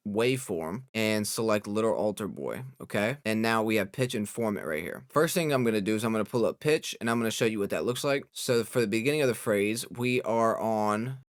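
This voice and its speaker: Young guy, kinda low voice, walking thru a software tutorial casually, dry sound no room echo. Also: clean tone. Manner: informal, explanatory, step by step. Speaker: audio engineer, tutorial host.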